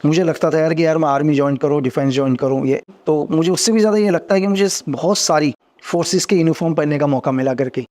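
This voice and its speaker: Fast-talking man recounting service life. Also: on the high side, a little mellow. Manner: rapid, earnest, repeats phrases, rising energy when explaining. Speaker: podcast guest, former intelligence agent. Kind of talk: casual interview storytelling.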